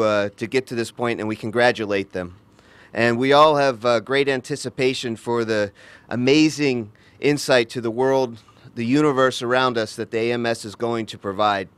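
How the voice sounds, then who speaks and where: A man speaking for his astronaut crew in a formal press statement, earnest and pausing often on hesitations. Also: mid-range voice, a bit bright, clear, resonant tone, no echo.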